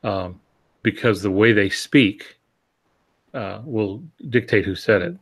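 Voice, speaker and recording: Older male panelist, lower register, measured explanatory delivery in casual discussion. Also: slightly rough. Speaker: podcast panelist and novelist.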